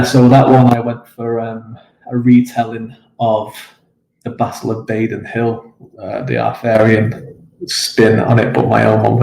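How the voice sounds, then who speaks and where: Male author hosting his own live stream from home: low-key, hesitant and chatty, with a dark, gravelly tone in a roomy space. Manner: rambling and hesitant with many fillers. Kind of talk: casual live-stream chat answering comments. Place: home, with his dog nearby. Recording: roomy echo with faint ambience.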